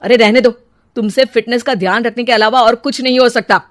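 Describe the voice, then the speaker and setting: A woman's voice: fairly high pitch, fairly even intonation, a little fast, dry recording, clean studio sound, lightly acted. A woman voicing an irritable, fault-finding young husband with a blunt, scolding manner in a cartoon story. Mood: annoyed.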